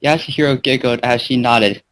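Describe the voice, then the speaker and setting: A man's voice: mid-range voice, fairly even intonation, a little fast, fairly bright tone, slight background noise, poor audio. A man reading a fan fiction aloud as an amateur narrator, now and then stumbling over words. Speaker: fan fiction reader and gaming channel host.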